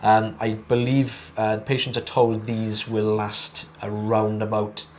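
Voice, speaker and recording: Brief: British male clinician, lowish croaky voice from a cold, halting delivery, faint background hiss. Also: monotone, a little mellow. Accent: British English. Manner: hesitant, halting phrasing. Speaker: ear wax removal clinician. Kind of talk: co-presenting a clinical video.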